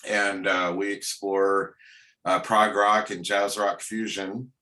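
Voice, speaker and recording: A man's voice: fairly low pitch, level tone, takes it slowly, pushed and bright. A man hosting a radio interview, talking casually and rambling with many ums and uhs. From Oregon, USA. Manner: rambling, heavy use of uh and um.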